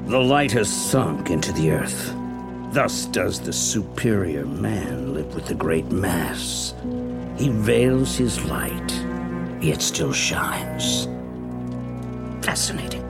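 A mysterious detective in a gothic radio play, low and gravelly. He is aloof and imperious, drawing words out slowly with theatrical swoops, over music. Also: big pitch swings, full character voice. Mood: portentous. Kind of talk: scripted gothic noir audio drama acting.

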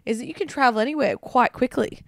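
A woman's voice: fairly high pitch, fast, creaky, no room sound, studio quality. A young Australian woman with an Australian accent co-hosting a podcast, chatting casually and teasing her friend, full of fillers and swearing. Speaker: podcast co-host. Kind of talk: casual chat. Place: abroad, travelling in Italy.

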